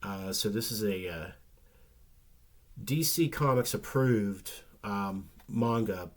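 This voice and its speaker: Low-voiced man with a slightly nasal rasp. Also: slow pace. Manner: relaxed and rambling, many ums and restarts. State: recovering from flu, slightly rough nasal voice. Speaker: comic book vlogger. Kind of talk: casual chat.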